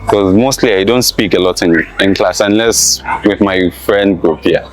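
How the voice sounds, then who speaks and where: A man's voice: deep voice, moderate pace, soft background music, rough recording. A young man with a Zambian accent who gives casual interview answers as a student. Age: young adult.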